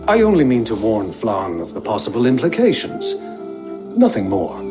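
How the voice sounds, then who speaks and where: An actor playing a pious, anxious abbot with an earnest, deferential manner. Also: male voice, on the low side, huge pitch swings, moderate pace. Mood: apologetic. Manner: earnest, apologetic, formal. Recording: music under dialogue.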